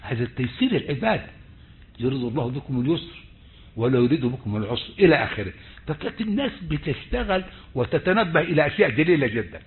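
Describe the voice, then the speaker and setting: A male lecturer with an Egyptian accent giving a slow, deliberate online lecture, often stretching words and restarting his phrases. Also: mid-range voice, very animated. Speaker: university lecturer. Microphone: computer mic on a video call. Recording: tinny phone-like call audio.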